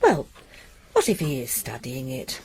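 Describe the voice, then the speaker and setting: An actress playing an anxious, tender middle-aged wife who pleads and worries in a radio drama. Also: female voice, extremely low pitch, huge pitch swings, measured pace, darkish tone, slightly rough, exaggerated voice. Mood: fretful.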